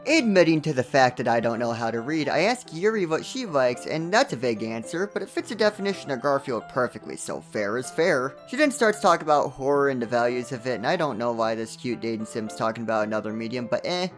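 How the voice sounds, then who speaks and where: Animated man doing comedic review narration. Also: medium pitch, a little fast, hardly any pauses. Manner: sarcastic, joking asides. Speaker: video game reviewer. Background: background music.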